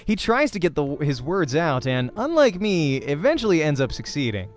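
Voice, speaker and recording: A man's voice: fairly high pitch, moderate pace. A man hosting a let's play, reading a visual novel aloud expressively and adding casual asides. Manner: expressive, acts out the text. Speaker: let's play host and reader. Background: music.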